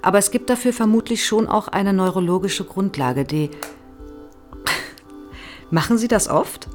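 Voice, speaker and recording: An actress playing an eager, probing middle-aged research psychologist who explains politely in an audio drama. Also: female voice, mid-range voice, lively intonation, a little slow, faint music behind, close to an everyday voice. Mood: curious, reassuring. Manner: polite, explanatory, keenly interested. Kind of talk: naturalistic audio drama dialogue.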